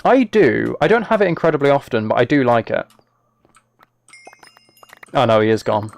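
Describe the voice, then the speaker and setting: A British man chatting casually on a game livestream, talking to viewers in a mock-exasperated, self-deprecating way. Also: fairly high pitch. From United Kingdom. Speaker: live streamer.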